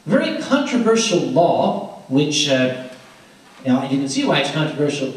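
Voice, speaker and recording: A man's voice: on the high side, moderate pace. A man telling a comic story to a live audience in a large lecture hall and acting out the voices in it, heard through a handheld mic from far off. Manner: animated, acts out the voices in his story. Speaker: convention storyteller. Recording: echoey hall from far off, noisy.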